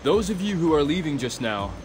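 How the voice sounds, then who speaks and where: A commanding young leader in a video game. His voice is fairly high for a man, yet cool, measured and formal. Music plays clearly behind him. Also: very animated. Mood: coolly authoritative. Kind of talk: video game dialogue acting.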